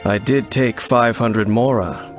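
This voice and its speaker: A man playing a mysterious, stoic, world-weary wanderer in a video game, speaking in a measured, formal, solemn way. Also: mid-range voice, steady tone, music in the background, lightly acted. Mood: solemn, reassuring. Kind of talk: English video game voice acting.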